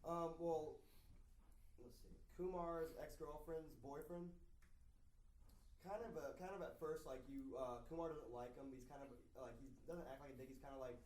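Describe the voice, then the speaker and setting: A man giving casual feedback in a group discussion, halting and often restarting his sentences. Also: fairly high pitch, fairly even intonation, darkish tone. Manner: hesitant, halting, full of stops and restarts. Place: indoor room at a group table read. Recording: echoey room, distant mic, faint noise.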